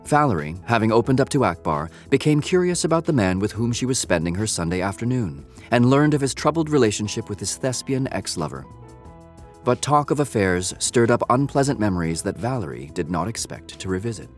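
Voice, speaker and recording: A man's voice: on the low side, sing-song, brisk. A man narrating a scripted audio drama in a storytelling style. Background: music.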